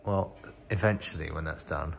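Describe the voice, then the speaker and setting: A man's voice: extremely low pitch, moderate pace, vocal fry, over music. A British man giving a casual, off-the-cuff walk-around commentary as a vlogger, recorded in a workshop. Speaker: vlogger, host of a channel about rotten old cars. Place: inside a car workshop.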